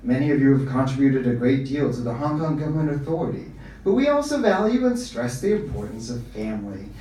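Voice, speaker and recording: A man reading aloud voices a slick, upbeat official announcement, higher and louder than his own voice. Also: sing-song, fairly quick, slight background noise, close to an everyday voice. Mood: officious. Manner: bright promotional, salesy. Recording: echoey room from far off.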